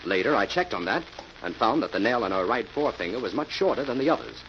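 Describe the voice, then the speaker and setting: An actor playing a cool, confident crime-fighter in an old radio mystery, speaking with assured deliberation. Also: male voice, mid-range voice, animated, fast talker, fairly bright tone, natural voice. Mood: assured, explaining. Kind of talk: 1940s radio acting. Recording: dull, faintly noisy old radio recording.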